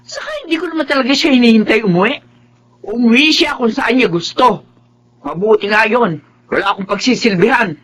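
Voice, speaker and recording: Neglected first wife; scornful, dismissive, bright and tense delivery. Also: male voice, extremely high pitch, a little fast. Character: bitter neglected first wife, sharp-tongued. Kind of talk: radio drama acting.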